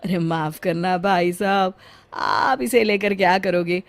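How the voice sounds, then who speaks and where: A woman's voice: on the low side, unhurried. A woman voicing a cheerful male street comb seller who calls out his wares in a singsong and teases a customer. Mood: teasing. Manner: sing-song hawker calls, teasing. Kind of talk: cartoon voice acting.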